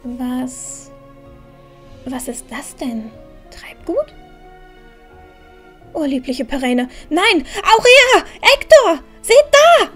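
A proud, headstrong young heroine of a fantasy audio play, acted by a woman. Her voice is high and she talks to herself with dramatic force. Faint music plays behind her. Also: lively intonation, very slow, pauses often, exaggerated voice. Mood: alarmed. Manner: self-berating, dramatic, talking to herself. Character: proud, stubborn young heroine scolding herself, then alarmed. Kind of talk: fan-made fantasy audio drama acting.

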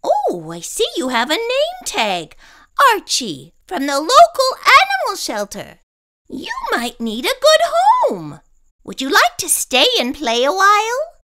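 An elderly storybook lady, acted out by a woman reading aloud, kindly and easily excited. Her voice is shrilly high and bright. She exclaims and squeals with wildly varied pitch. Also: measured pace, exaggerated voice. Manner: squealing, exclamatory, scolding or cooing.

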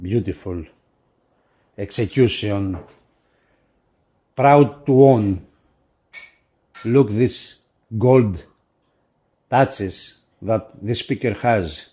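A male reviewer talks through an unboxing in a lowish, mellow voice. His delivery is very slow, with halting, broken phrasing as he describes what he handles. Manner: halting, broken phrasing in non-native English. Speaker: product reviewer for a magazine. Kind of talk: unboxing commentary.

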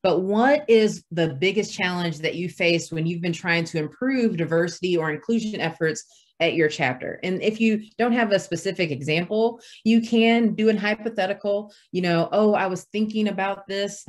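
Woman roundtable facilitator on a video call, measured and appreciative, lowish voice. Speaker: webinar presenter. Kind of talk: online roundtable moderation.

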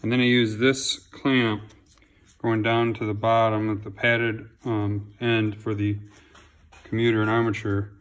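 A man's voice: fairly low pitch, a little slow. A man in his home garage, casually explaining a repair step by step while filming close over his workbench. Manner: relaxed, plain-spoken, with ums and restarts. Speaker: home mechanic. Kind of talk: casual how-to narration.

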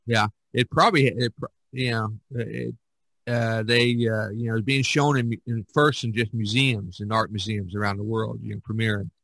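A man hosting a casual podcast conversation, talking in a halting way with many fillers and restarts. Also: on the low side, steady tone, gravelly, a bit bright, dry recording, low-quality recording. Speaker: podcast host.

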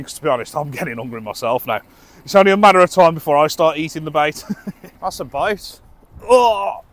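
A middle-aged British man in casual running commentary as he fishes from a dock at night, chatty and self-amused, recorded by a camera some distance away. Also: fairly high pitch. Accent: British English. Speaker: angler vlogger. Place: dock by the sea at night, outdoors. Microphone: camera on tripod, at a distance.

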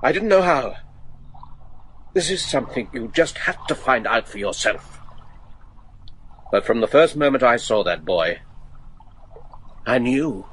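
A man's voice: mid-range voice, animated, unhurried, pauses often, fairly bright tone, slightly rough. An actor playing a loyal local man giving earnest, foreboding warnings in a gothic horror radio drama. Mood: grave, reluctant.

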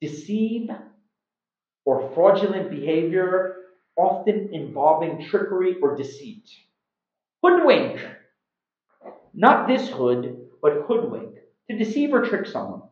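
A middle-aged man teaching English informally to camera in an animated, emphatic manner, recorded indoors at home. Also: sits high. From Iran. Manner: animated, emphatic, with acted-out examples. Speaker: English teacher, video host. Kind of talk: informal slang lesson to camera. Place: small room at home, indoors. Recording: roomy, slightly dull sound.

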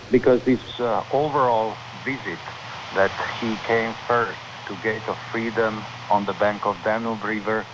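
A man with a Slovak accent giving political commentary in measured, hesitant phrases in a radio interview. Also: lowish voice. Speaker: political analyst being interviewed. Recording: noisy shortwave radio broadcast with steady hiss. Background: shortwave static and noise.

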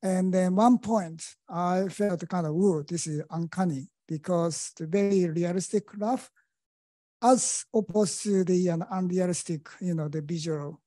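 Man, mellow voice, dry sound, halting. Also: high voice, no room sound. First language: Japanese. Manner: hesitant, many uh fillers. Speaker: audience member asking a question.

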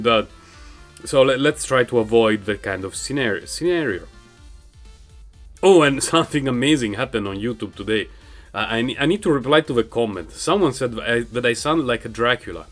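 A man with a non-native English accent chatting casually while playing a game on his couch, rambling and laughing often, into a mic in front of him. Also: mid-range voice. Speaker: gaming channel host. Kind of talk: casual gameplay commentary. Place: home, on a couch. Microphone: microphone raised in front of him.

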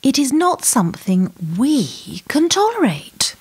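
A woman acting as a menacing, condescending power broker in a radio drama. Her fairly high voice rises and dips dramatically through sardonic, rhetorical lines delivered with cool authority. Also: huge pitch swings, measured pace, full character voice. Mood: pressing, condescending.

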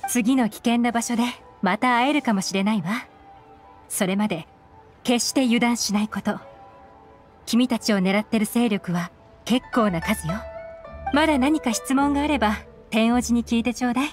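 A woman's voice: a little high, moderate pace, pauses often, faint music behind, natural voice. A woman voicing a shrewd, secretive young intelligence agent in an anime game, composed, knowing and slightly teasing.

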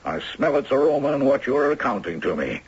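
An actor playing an elderly retired judge, courtly and wistful, with florid old-fashioned phrasing in a radio drama. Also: male voice, mid-range voice, sing-song, fairly quick, husky, heavily acted. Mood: resolute. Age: older. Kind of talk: 1970s radio drama acting. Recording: dull lo-fi 1970s radio recording.

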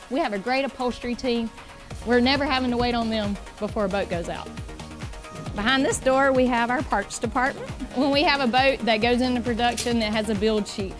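A woman in her forties giving a brisk, explanatory walking tour of a factory workshop. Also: a little high. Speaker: boat company guide. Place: large factory workshop indoors.

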